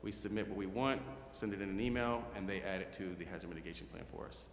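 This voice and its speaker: A man's voice: low voice, fast. A man giving a plain, informal explanation to a council as an official. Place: large indoor council chamber. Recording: echoing council chamber, room noise.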